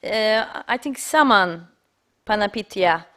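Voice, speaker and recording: A woman's voice: mid-range voice, expressive. A woman moderating an online academic symposium over a video call, speaking hesitantly with many fillers. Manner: slow and hesitant, many fillers and soft backchannels. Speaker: session moderator.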